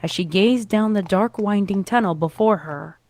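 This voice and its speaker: A woman's voice: mid-range voice, moderate pace, a crowd in the background. A woman reading a scripted story as narrator, with dramatic delivery.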